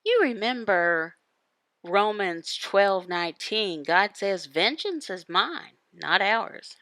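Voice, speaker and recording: A woman giving a devotional Bible teaching in emphatic, halting phrases, asking questions and answering them. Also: mid-range voice, lively intonation, a bit bright, no room sound. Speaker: voice interpreter for a signed devotional. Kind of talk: devotional Bible teaching, voiced from sign language.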